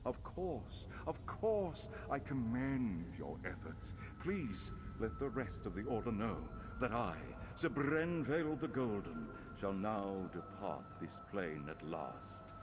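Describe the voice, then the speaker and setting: An actor playing the boastful, foppish ghost of a knightly bard in a fantasy video game, speaking in flowery archaic phrases. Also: male voice, on the low side, sing-song, slow, few pauses, noisy background. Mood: grand farewell. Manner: theatrical, flowery, archaic, lamenting. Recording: music behind the voice.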